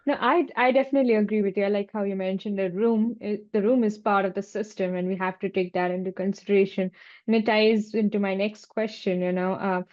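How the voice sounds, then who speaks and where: A woman with a soft, dark tone, heard through slightly muffled, lo-fi audio. She interviews in a chatty, conversational way, affirming the answers before leading into her next question. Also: medium pitch, fairly even intonation, a little fast.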